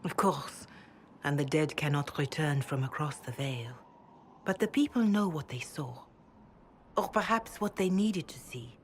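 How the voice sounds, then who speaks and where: A devout, wise older woman from a fantasy game. Her voice is on the low side and hushed, with a slow, measured delivery. She counsels calmly and gravely, over faint background noise. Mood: solemn, reassuring. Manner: measured, solemn, consoling. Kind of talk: dramatic game dialogue.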